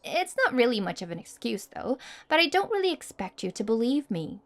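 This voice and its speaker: Woman acting a haughty, high-voiced popular girl. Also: big pitch swings, a little fast. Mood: smug, teasing.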